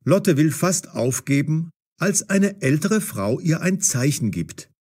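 A man narrating a scripted audio story as storyteller, with a husky voice. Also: a little high, sing-song, slow, darkish tone.

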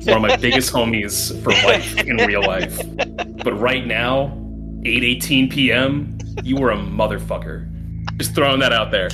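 A man's voice: mid-range voice, moderate pace. A man playing in a tabletop game who talks bluntly and swears among friends. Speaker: player. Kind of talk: casual chat at a game table. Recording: music bed under the voice.

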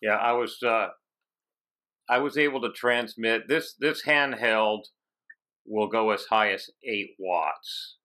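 Bright-voiced low-pitched man, halting interviewer. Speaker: podcast host. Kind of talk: casual technical interview. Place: home office with bookshelves, on a video call.

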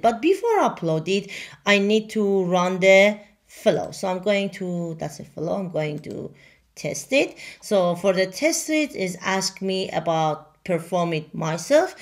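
A woman whose first language is Farsi, talking through a software demo in halting English with many fillers. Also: fairly low pitch, gravelly. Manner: halting, many fillers and restarts, non-native grammar. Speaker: software tutorial presenter. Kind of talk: screen-recorded software walkthrough.

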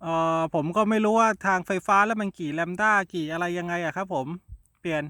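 A man's voice: high-pitched, fairly quick, very noisy. A man in a casual amateur radio exchange, polite and hesitant, repeating his words. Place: outdoors in a garden.

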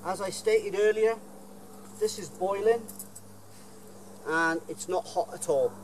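A man's voice: sits high, slow, slight background noise. Chatty man in the woods. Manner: chatty, enthusiastic, folksy with fillers. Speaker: bushcraft reviewer. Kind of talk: casual gear review to camera. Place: outdoors in a woodland.

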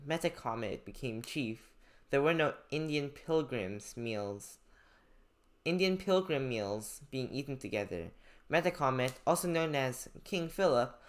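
Slow-talking young man reading aloud. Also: mid-range voice. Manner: haltingly stumbles over unfamiliar names. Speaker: student reading a novel aloud and taking notes. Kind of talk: read-aloud with informal note-taking commentary.

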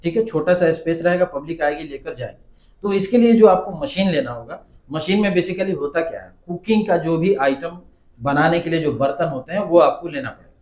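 Man, thirties, patient business coach, somewhat high voice, echoey office. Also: fairly quick, a little mellow. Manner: patient, instructive, numbers his points. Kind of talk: explaining step by step, advisory interview. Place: small office with a desk.

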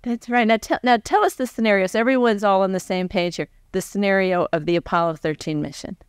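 Clear-voiced American woman interviewer. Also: mid-range voice, quick pace, no echo, studio quality. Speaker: professional interviewer. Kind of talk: conversational onstage interviewing. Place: stage before a live audience. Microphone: close microphone on a stand.

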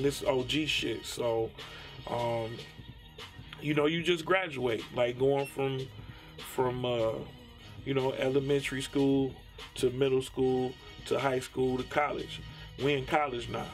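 A man's voice: mid-range voice, fairly even intonation, a little slow. Detroit man chatting over background music. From Detroit, USA. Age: 30s. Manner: slangy, conversational with frequent tag phrases. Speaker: rapper. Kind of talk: casual documentary interview. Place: small dim padded studio room, music behind.

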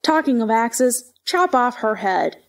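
A woman's voice: a little high, sing-song, unhurried. A woman playing a brusque, bossy, ill-tempered Duchess in a storybook play, curt and snappish.

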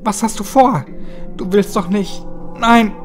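Man voicing a frightened young woman, raised pitch. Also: slow, soft background music. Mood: panicked, pleading. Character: frightened young woman, trusting, pleading for her life.

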